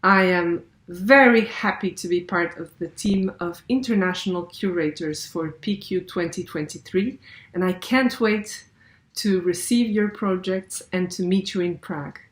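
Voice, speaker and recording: Cheerful woman in a roomy echo. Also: medium pitch. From Portugal. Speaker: scenographer, curator. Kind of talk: prepared self-introduction.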